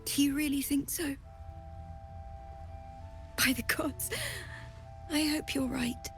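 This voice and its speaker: A woman playing a frightened, grieving young refugee in a video game, tearful and halting. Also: high-pitched, steady tone, faint music behind. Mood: fragile hope. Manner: halting, fragile. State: tearful, sighing. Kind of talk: dramatic video game acting.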